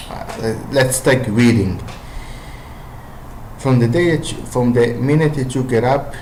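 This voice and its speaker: A man's voice: medium pitch, a little mellow, slight background noise. A man with an Israeli accent and Hebrew as his first language, giving language-learning advice in a hesitant, casual monologue full of fillers and restarts. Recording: roomy echo.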